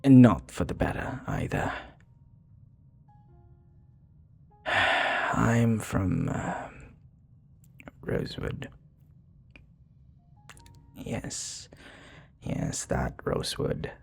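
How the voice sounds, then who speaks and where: A man playing a remorseful, flirty vampire bartender in an intimate ASMR roleplay, soft-spoken and hesitant, with a breathy, husky voice. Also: deep voice, huge pitch swings, very slow, long pauses, soft background music, exaggerated voice. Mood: hesitant, uneasy. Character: remorseful, flirty male vampire bartender confessing his past.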